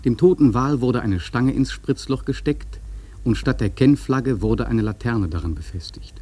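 A man playing a sailor who narrates a whaling tale in a radio drama, husky, measured and grave. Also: fairly low pitch, mellow. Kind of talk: literary radio play narration.

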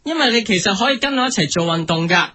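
A man's voice: sits high, expressive, fast, pushed and bright, breathy edge, heavily acted. A man playing an oblivious, overfriendly, pious singer in a comic radio play, earnest and insistent in his chatter.

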